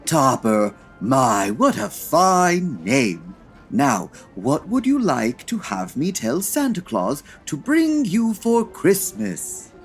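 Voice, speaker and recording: A man's voice: fairly high pitch, wildly swooping pitch, slow, soft background music, exaggerated voice. Man acting a campy, theatrical store Jack Frost. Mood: jolly, put-on cheer. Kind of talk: comedy audio drama acting.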